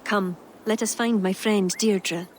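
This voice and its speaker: A woman playing an earnest, determined adviser to a king in a video game, speaking in a measured, formal way. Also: mid-range voice, fairly even intonation, natural voice. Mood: composed, explanatory. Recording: game audio over clear outdoor noise.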